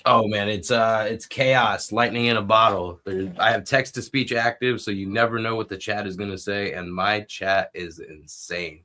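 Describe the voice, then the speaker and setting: A man's voice: fairly low pitch, steady tone, moderate pace, hardly any pauses, sharp tone. A man chatting casually as a podcast co-host and livestreamer. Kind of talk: casual chat.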